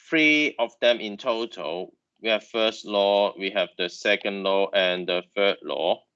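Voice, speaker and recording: A man's voice: on the low side, bright, forceful tone, lo-fi sound. A man whose first language is Chinese, lecturing online about engineering, who halts and repeats words with many fillers.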